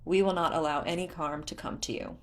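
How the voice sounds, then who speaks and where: A woman's voice: deep voice, very animated, a little fast. Woman as a formal, weary fish-folk man. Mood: earnest.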